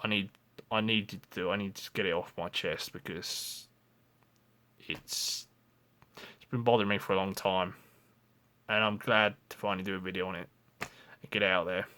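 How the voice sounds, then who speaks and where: A young man in his twenties speaks straight to camera in a rambling confessional vlog. His voice sits a little low, and he keeps restarting his sentences and swearing as he talks it through. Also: steady tone, moderate pace, pauses often, bright, forceful tone. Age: 20s. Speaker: vlogger.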